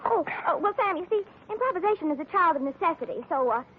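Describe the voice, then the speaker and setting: An actress playing a flighty, nervous, devoted secretary with a coy, stammering manner in 1940s radio drama. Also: female voice, very high-pitched, expressive, fast talker. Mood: nervous, evasive. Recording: muffled, lightly noisy 1940s radio recording.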